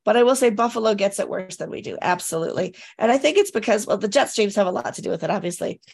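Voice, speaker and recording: Raspy, congested woman chatting. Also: medium pitch, lively intonation, fast, a little mellow. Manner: chatty, rambling, with many restarts and fillers. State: hoarse and congested after illness. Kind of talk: casual chat.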